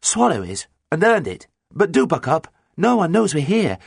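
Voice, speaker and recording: A man's voice: fairly high pitch, wildly swooping pitch, normal speed, creaky, husky, no room sound, studio quality, pitched above the performer's own voice, exaggerated voice. A man voicing a bold, impatient, boisterous girl pirate captain in an audiobook. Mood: urgent. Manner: exclamatory, urgent, jolly slang. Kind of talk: audiobook character dialogue.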